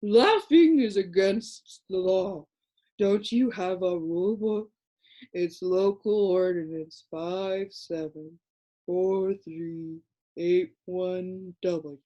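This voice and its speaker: A woman reading aloud puts on a band of tiny sleepy creatures for a storybook, dragging each word out in a long, yawning drawl. The voice has a soft, mellow tone, and the slow, droning lines sound ready to nod off at any moment. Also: on the low side, lo-fi sound. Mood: stern. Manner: slow, drawn-out, yawning delivery. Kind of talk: audiobook character voice.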